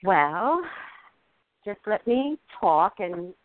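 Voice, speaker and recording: A woman's voice: medium pitch, sing-song, drawn-out words, phone-quality sound. A woman hosting a call-in psychic reading, chatty, repeating words for emphasis. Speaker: psychic radio host.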